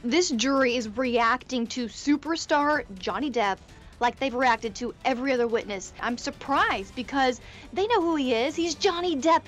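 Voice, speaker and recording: A woman in her thirties giving quick, chatty court commentary over a video call. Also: high-pitched, fairly bright tone, faint music behind. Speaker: court correspondent. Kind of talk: live news commentary.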